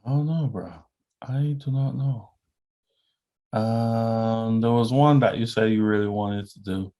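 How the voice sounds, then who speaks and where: A man co-hosting a podcast, talking reflectively in casual chat with halting restarts. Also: mid-range voice, mellow. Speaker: podcast co-host.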